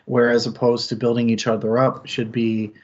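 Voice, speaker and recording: A man's voice: mid-range voice, flat delivery, normal speed, darkish tone, dull audio. A man hosting a casual book discussion on a stream, thinking aloud in long, rambling sentences and laughing often. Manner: thoughtful, rambling, with frequent laughs. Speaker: book club stream host.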